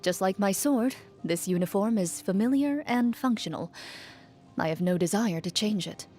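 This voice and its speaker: A woman playing a composed, dutiful young female champion duelist in a video game, speaking in a formal, measured, reserved way. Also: mid-range voice, animated, a little mellow, lightly acted. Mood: composed, reflective. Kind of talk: video game character voice lines, reflective monologue. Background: music under the voice.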